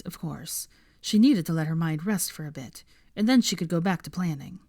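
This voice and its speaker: A woman's voice: lowish voice, darkish tone, no room sound, studio quality. A woman narrating fiction in a scripted, audiobook-style reading.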